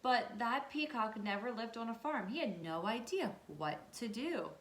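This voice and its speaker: A woman's voice: fairly high pitch, expressive, moderate pace. Lady teacher doing a storybook read-aloud, checks in with the kids, roomy echo. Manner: instructive, checks in with questions. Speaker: teacher reading aloud to her students. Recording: echoey room.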